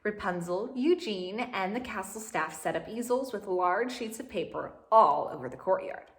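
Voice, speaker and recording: A woman's voice: medium pitch, animated, moderate pace. A woman reading a story aloud to young children, expressively and with asides and questions to the listeners.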